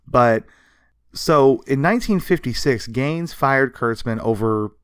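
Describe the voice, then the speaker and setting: A man's voice: medium pitch, unhurried, a bit bright, clear, resonant tone, dry recording. Casual man podcaster, dry close sound. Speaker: podcast co-host. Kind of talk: casual podcast storytelling about pop culture history.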